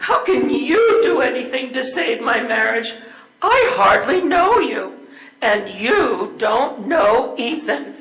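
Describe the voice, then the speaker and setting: A woman's voice: fairly high pitch, very animated, slow pace, a bit bright, heavily acted. An older woman playing a distraught, scornful wife in an amateur readers theater play, with a tearful, dramatic delivery. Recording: echoey room.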